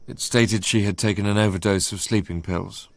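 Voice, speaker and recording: A man playing a brooding, proud young narrator in a radio drama, telling his story in measured literary phrases. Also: on the low side, fairly even intonation, creaky, no room sound. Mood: intent, troubled. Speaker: first-person narrator.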